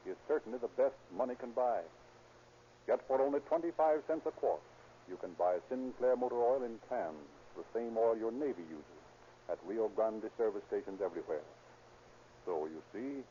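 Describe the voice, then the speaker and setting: A man's voice: fairly low pitch, dark tone. A man working as announcer and narrator, reading scripted sponsor copy in a formal, polished 1930s radio style. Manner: formal, polished salesman delivery. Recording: muffled, noisy 1930s radio recording.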